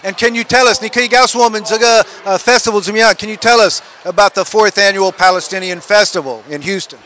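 Halting bilingual male interviewer, high voice. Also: sharp tone, slight background noise. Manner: switches between questions in two languages, halting. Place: outdoor festival.

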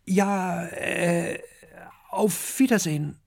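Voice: mid-range voice, very animated, takes it slowly, dry recording, studio quality, pitched above the performer's own voice. A storybook narrator voicing a small young male fire dragon, timid but clever, who talks politely and explains things. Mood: nervous.

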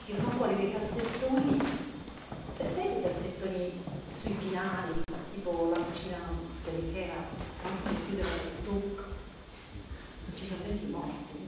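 A woman's voice: medium pitch, moderate pace, lightly acted. An actress playing a pensive woman with a morbid fascination, musing in short pieces in conversational theatre. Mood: fascinated, musing. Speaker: stage actress. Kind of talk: naturalistic conversational theatre dialogue. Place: large reverberant performance space. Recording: far-off echoey hall, loud noise.

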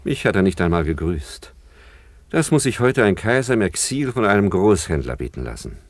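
An actor playing an affronted, self-important exiled emperor in a radio play, with a theatrical, aggrieved manner. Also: male voice, deep voice, sing-song, moderate pace. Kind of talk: German radio play acting.

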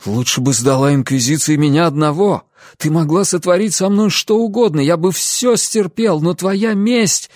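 A woman's voice: deep voice, lively intonation, slow, darkish tone, husky, dry recording, studio quality, pitched above the performer's own voice, heavily acted. A woman narrator voicing a devout young man who feels betrayed, anguished and accusing, in an audiobook.

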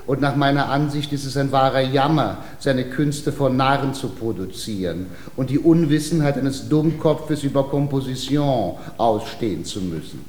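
An actor playing an earnest, high-minded dancing master with airs, speaking in a pompous, florid way in a German radio comedy. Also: male voice, darkish tone, roomy sound, lightly acted. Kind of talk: German radio play, theatrical comedy acting.

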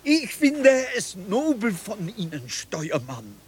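An actor playing a courteous, deliberate harbour pilot in a radio drama. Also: male voice, sits high, wildly swooping pitch, husky, heavily acted. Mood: admiring. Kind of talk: 1990s German radio play acting.

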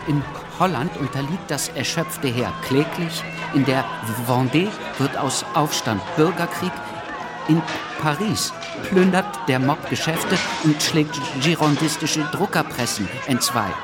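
An actor playing an urgent chronicler of war and riot in a radio drama, proclaiming forcefully. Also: male voice, fairly high pitch, a little slow, lightly acted. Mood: alarmed. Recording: loud crowd and music behind.

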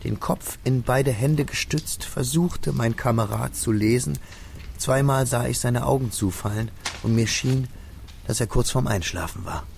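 An actor playing a grown man who wistfully recalls his schooldays, narrating a radio drama in a measured, literary way. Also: mid-range voice, vocal fry, a little mellow, a little hiss or hum. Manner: measured, detailed, literary storytelling. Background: music.

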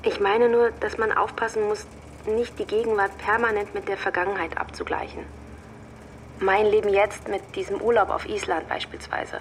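A woman's voice: medium pitch, steady tone, normal speed, a little hiss or hum, natural voice. An actress playing a pensive, lonely working mother who talks hesitantly and thoughtfully in a naturalistic radio drama.

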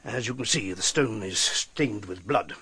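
A man playing a gruff, sceptical British police captain in a radio drama, brusque and matter-of-fact, with a British accent. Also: on the low side, animated, measured pace, very noisy. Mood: grimly factual. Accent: British English.

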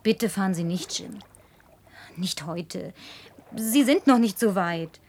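A slow-talking, pleading young woman. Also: mid-range voice, expressive, darkish tone. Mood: earnest pleading. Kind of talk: German radio drama acting.

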